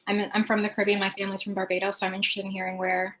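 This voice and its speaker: A woman's voice: medium pitch, completely flat delivery, rapid-fire, noisy background. A woman in her twenties, a medical student, introducing herself on a video call.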